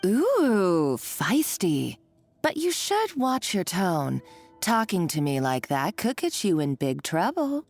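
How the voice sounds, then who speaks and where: A woman's voice: medium pitch, huge pitch swings, slow, exaggerated voice. An actress playing a gloating, scheming female villain in a video game, theatrical and sardonic, taunting as she talks. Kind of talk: dramatic video game voice acting. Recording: game dialogue over background music.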